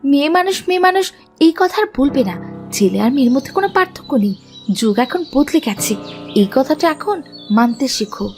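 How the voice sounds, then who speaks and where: A woman's voice: high-pitched, sing-song, fairly quick, darkish tone, faint music behind. A woman voicing a bold, stubborn young village woman in a fairy-tale cartoon, speaking with defiant emphasis. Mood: indignant, proud.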